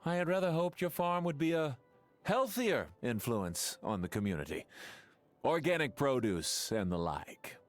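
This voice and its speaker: A man's voice: medium pitch, sing-song, unhurried, soft background music. A man playing a fussy, self-important town mayor in a video game, with a pompous, formal manner. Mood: smug, disapproving. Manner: pompous, formal, affected phrasing.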